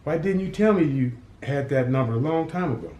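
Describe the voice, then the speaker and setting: A man voicing a calm, loyal henchman who keeps his boss cool and jokes dryly with a kid. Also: on the high side, brisk, close to an everyday voice. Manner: easygoing, placating, dry humor. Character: calm loyal middle-aged male henchman. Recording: roomy echo.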